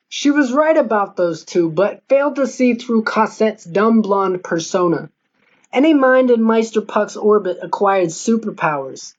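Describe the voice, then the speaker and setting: A male narrator reads a comic novel aloud in scripted audiobook style. His pitch sits in a middle register. The recording is somewhat dull and lo-fi.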